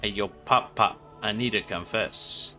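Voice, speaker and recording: A man's voice: fairly low pitch, measured pace, pushed and bright. A man streaming a video game with casual, slangy, irreverent commentary. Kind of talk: casual live game commentary, crude joking. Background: game music and sounds.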